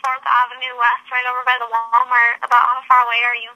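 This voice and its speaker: A woman's voice: a little high, monotone, fast. A woman on a phone call, talking casually in a blunt, businesslike way. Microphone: telephone.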